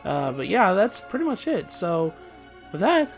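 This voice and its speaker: Slightly hoarse man rambling over gameplay. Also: high voice, sing-song, unhurried, soft background music. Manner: rambling, loose, with many fillers and restarts. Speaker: let's play commentator. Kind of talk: casual gameplay commentary.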